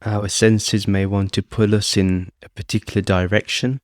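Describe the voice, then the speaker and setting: A man calmly leading a guided meditation as host, speaking off the cuff in short phrases with long pauses. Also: on the low side, dry recording, clean studio sound. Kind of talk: guided meditation, unscripted instruction.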